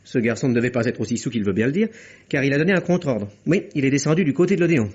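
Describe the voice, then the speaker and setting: A dull, muffled radio-play recording of a police inspector. He talks briskly in a slightly rough, husky voice. He is methodical and courteous, with dry irony and pointed insinuation. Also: mid-range voice, animated, a little mellow. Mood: measured, reporting. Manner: ironic, insinuating, polite. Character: wry, methodical male police inspector, quietly probing. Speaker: actor in a radio mystery. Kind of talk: French radio mystery play acting.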